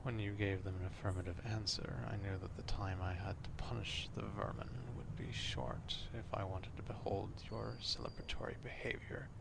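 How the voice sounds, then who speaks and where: A man playing an obsessive, old-fashioned vampire stalker in an ASMR roleplay, speaking formally in a hushed half-whisper, close to the microphone. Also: fairly low pitch, little pitch movement, noisy background. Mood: quietly menacing. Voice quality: hushed, breathy half-whisper with gravelly fry. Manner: slow, deliberate, formal archaic wording.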